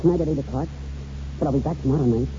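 An actor playing an earnest, sensitive youth in a 1940s radio drama, with a heartfelt delivery. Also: male voice, medium pitch, very fast, warm tone, slight background noise, close to an everyday voice. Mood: tender. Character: earnest, sensitive Scottish schoolboy on the edge of manhood. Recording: muffled old radio recording with music.

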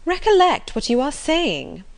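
Audiobook ladyship played by a woman: polite, proper, formal, reproving, high and animated. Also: measured pace, heavily acted. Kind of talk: acted storybook dialogue.

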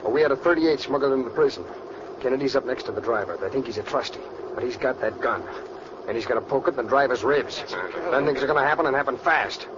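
Casting: man as cocky, vicious convict boss, rapid-fire gangster slang, old radio crime drama, muffled noisy recording. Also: mid-range voice, lightly acted. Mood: conspiratorial, urgent. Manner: tough gangster slang, barked orders. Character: vicious, cocky male escaped convict gang leader.

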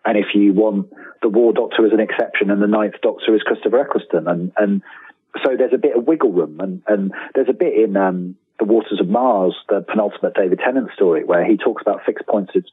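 A man speaking as an interview guest in casual talk, haltingly rephrasing and repeating words as he thinks aloud. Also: fairly low pitch, breathy edge. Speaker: guest author and lifelong fan. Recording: tinny, roomy telephone-like line.

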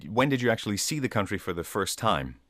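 Deep-voiced radio interviewer. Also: male voice, sing-song, fairly bright tone, no echo, studio quality. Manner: measured, prepared questions. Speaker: radio host.

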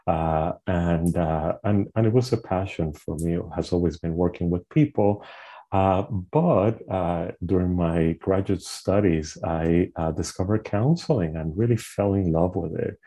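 A middle-aged man from Puerto Rico, speaking as an interview guest. He talks thoughtfully and often circles back to restart a phrase. His voice is low, very dark and husky. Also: unhurried. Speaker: guest, clinical social worker. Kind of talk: conversational interview.

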